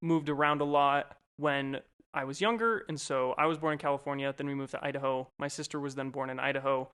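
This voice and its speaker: A man's voice: medium pitch, steady tone, a little fast, fairly bright tone, clean tone. A man in his twenties, co-hosting a podcast, talking about himself in a rambling, easygoing way with lots of fillers. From Colorado, USA. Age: 20s. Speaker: podcast co-host. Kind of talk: casual chat.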